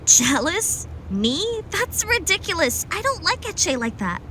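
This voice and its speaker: A woman's voice: extremely high pitch, sing-song, a little slow, sharp tone, slight background noise, exaggerated voice. A woman playing a conflicted young heroine, speaking hesitantly and with feeling in an amateur audio drama. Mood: defensive.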